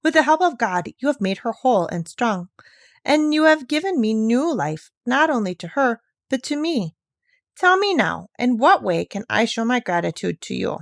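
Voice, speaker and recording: A woman's voice: fairly high pitch, very animated, moderate pace, gravelly, no room sound, pitched above the performer's own voice. Woman acting grateful father, courteous, earnest, formal, storybook read-aloud, dry. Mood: heartfelt gratitude. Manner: formal, heartfelt.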